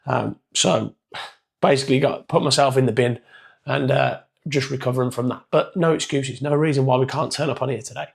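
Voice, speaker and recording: A man with a hoarse, croaky voice giving a casual, chatty motivational coaching talk. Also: mid-range voice, fairly even intonation, a little fast. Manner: casual, chatty, loosely structured. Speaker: performance coach.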